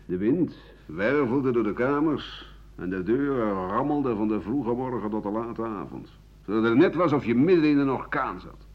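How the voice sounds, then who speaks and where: Grumpy old french doctor reminiscing in a radio drama, raspy rough voice, rambling and sardonic, kinda muffled old tape. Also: male voice, fairly low pitch, exaggerated voice. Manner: rambling, sardonic, chuckling at his own memories. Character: embittered aging French doctor and war veteran reminiscing. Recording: dull 1980 radio play recording.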